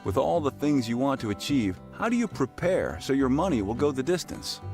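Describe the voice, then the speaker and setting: A man reading a scripted radio commercial in a smooth, reassuring way. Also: mid-range voice, big pitch swings, brisk, darkish tone, soft background music. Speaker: ad announcer.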